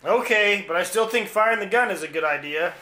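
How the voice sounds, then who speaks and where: A man's voice: sits high, lively intonation, brisk. A man playing a naive, plain-spoken, skeptical henchman in a parody radio play. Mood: doubtful. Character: hapless practical-minded male henchman to a villain. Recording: roomy, noisy home recording.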